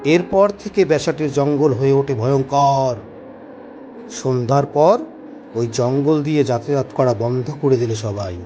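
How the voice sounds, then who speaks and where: A man playing a knowing village gentleman in a horror cartoon, who explains local ghost lore in an emphatic, theatrical way. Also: medium pitch, measured pace, muffled recording, soft background music, natural voice. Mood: grave storytelling. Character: knowing village gentleman, reassuring yet scolding, telling a ghost tale. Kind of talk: dramatic cartoon story dialogue.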